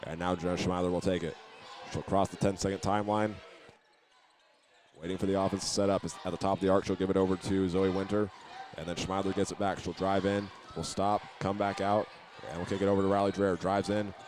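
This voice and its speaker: Fast, low-voiced man calling basketball. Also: level tone, noisy background. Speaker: play-by-play announcer. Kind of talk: live high school basketball commentary. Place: basketball game venue.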